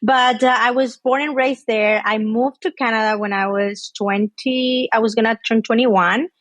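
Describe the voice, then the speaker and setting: A woman's voice: a little high, steady tone, normal speed, fairly bright tone, no room sound. Fields: Sex: woman. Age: 30s. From Mexico. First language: Spanish. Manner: chatty, with frequent fillers and laughs. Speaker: podcast guest. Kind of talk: casual interview chat.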